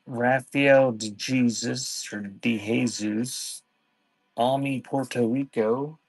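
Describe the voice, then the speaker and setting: A man running a casual live-stream sale, talking in an offhand, halting way and thanking viewers by name. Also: medium pitch, steady tone, breathy edge, no room sound, rough recording. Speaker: live online seller.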